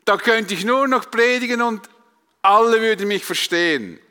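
A man's voice: high-pitched, normal speed, sharp tone, smooth. A man with a Swiss German accent preaching a sermon to a congregation, emphatic, with rhetorical repetition and questions. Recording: long hall reverb.

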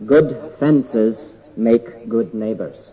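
An older man from Canada with an English accent, his first language English, preaching a sermon in an earnest, slow and deliberate way with halting pauses. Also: mid-range voice, muffled recording.

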